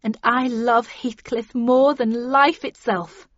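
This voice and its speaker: Woman acting a high, haughty lovestruck young Victorian woman. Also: fairly even intonation, slow pace, no room sound, highs cut off. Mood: defiant, passionate. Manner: disdainful, melodramatic.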